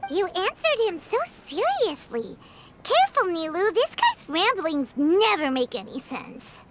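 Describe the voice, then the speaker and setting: A woman voicing a tiny, cheeky female companion creature in a video game, squeaky and sassy. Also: very animated, unhurried, a bit bright, a little hiss or hum, heavily acted. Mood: teasing. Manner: squeaky, sassy, refers to herself in third person. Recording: game soundtrack music behind.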